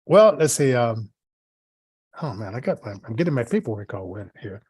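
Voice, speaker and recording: A man co-hosting in casual, rambling chat, halting as he searches for words and repeats himself. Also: mid-range voice, sing-song, a little mellow, husky, no room sound. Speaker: podcast co-host.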